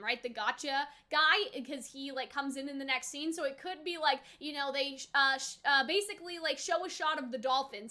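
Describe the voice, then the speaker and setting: A woman's voice: high-pitched, bright, forceful tone. A woman giving casual reaction commentary in a chatty, rambling way, peppering her sentences with "like". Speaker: anime reaction host.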